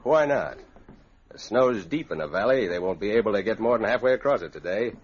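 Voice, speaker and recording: An actor playing a ruthless, gloating outlaw gang leader in an old-time radio adventure, bossy and curt. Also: male voice, on the low side, expressive, brisk, breathy edge. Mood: scheming. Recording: muffled vintage radio recording.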